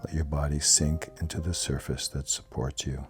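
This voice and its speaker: A man leading a guided yoga nidra relaxation in a calm, soothing, measured manner. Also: very deep voice. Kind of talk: guided meditation. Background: soft plucked guitar music.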